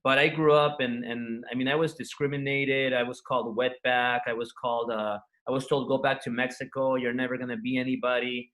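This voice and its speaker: La guy, spanish was his first language, talks earnest n rambly about his life, normal pitch. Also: little pitch movement, brisk, few pauses, fairly bright tone, rough recording. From Los Angeles, USA. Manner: earnest, frank, loose run-on telling with restarts. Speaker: entrepreneur guest. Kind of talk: interview storytelling.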